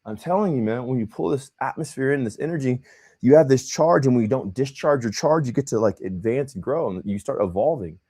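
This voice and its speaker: Young male host, loose slangy livestream banter, lively pitch swings. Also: brisk, slightly rough. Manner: animated, slangy, says bro and man a lot. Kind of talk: casual livestream chat.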